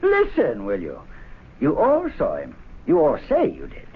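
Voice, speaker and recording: An actor playing a scornful, amused skeptic at a gentlemen's club in a radio drama, sardonic and emphatic. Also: male voice, fairly high pitch, huge pitch swings, measured pace, husky, heavily acted. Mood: mocking. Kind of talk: 1970s radio drama acting. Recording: tinny, narrow old radio broadcast.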